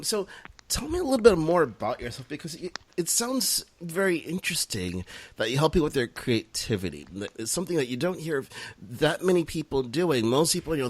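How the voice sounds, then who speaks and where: A man hosting a podcast interview, talking in a relaxed way with drawn-out phrasing and restarts. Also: medium pitch. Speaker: podcast host. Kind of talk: casual interview.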